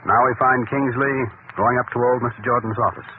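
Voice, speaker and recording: A man's voice: medium pitch, fairly even intonation, brisk, lightly acted. A man performing as a solemn, portentous narrator in an old-time radio mystery, with dramatic scripted delivery. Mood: grave, suspenseful. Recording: tinny, noisy vintage radio broadcast.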